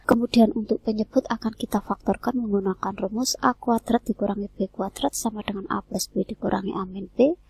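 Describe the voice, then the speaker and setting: A woman teacher walks through a math lesson step by step in steady, scripted narration. Her voice is airy and husky, close to a whisper, at a middle pitch.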